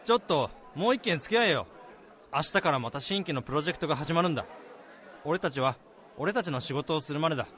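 Casting: male actor as blunt senior salaryman mentor, gruff yet caring, highish voice with lively swings, faint crowd murmur, radio drama. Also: fast. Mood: encouraging. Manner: blunt, casual, firmly advising. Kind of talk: Japanese radio drama dialogue and narration.

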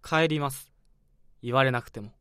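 A man's voice: medium pitch, normal speed, clean tone, dry recording, clean studio sound, close to an everyday voice. A man playing a sullen, defiant young subordinate. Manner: cold, sullen, quietly defiant. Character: sullen young male junior employee harboring murderous intent. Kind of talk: radio drama acting.

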